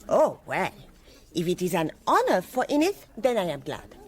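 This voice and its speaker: A woman's voice: lowish voice, huge pitch swings, moderate pace. An actress playing a haughty, outspoken foreign woman teacher in a radio drama, dramatic and disdainful. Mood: sardonic.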